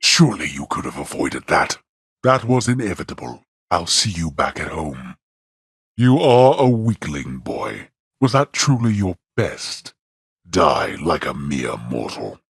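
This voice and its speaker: An actor playing a stern, imperious god of the underworld in a video game, pompous and disdainful in archaic, formal speech, with a gravelly, husky voice. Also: male voice, a bass voice, sing-song, unhurried, no room sound, studio quality, exaggerated voice. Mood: mocking contempt. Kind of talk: theatrical video game character acting.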